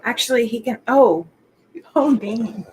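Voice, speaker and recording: A woman's voice: fairly high pitch, measured pace, darkish tone, slight background noise, low-quality recording. A woman with a mild Southern US accent chatting casually in a group. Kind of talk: casual chat.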